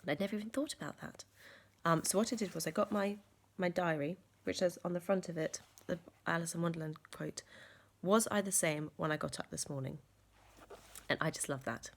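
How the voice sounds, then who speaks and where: A woman, croaky from a sore chest, talking earnestly in a reflective vlog with many ums and restarts. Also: on the low side, brisk. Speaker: vlogger.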